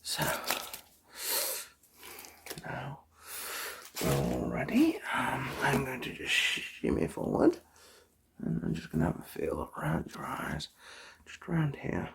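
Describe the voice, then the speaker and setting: A soft-spoken, often whispering person doing an ASMR eye exam roleplay, chatting gently and politely without hurry, close to the microphone. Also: medium pitch, wildly swooping pitch, vocal fry, slightly rough. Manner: gentle, unhurried, polite chatty bedside patter. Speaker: ASMR performer playing an eye doctor.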